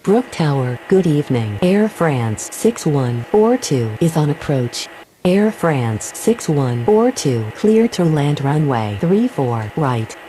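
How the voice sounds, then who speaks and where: A woman's voice: low-pitched, big pitch swings, takes it slowly, dark tone, slight background noise, exaggerated voice, low-quality recording. A woman playing a businesslike aviation radio operator, giving scripted calls. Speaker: air traffic radio voice.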